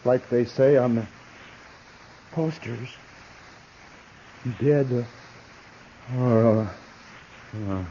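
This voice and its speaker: Slow, sardonic western outlaw. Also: male voice, mid-range voice, dark tone. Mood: dying, faltering. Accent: American. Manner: laconic, unhurried. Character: cold, sardonic murderous outlaw, grown man. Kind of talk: 1950s radio western acting. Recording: muffled, noisy 1950s radio recording.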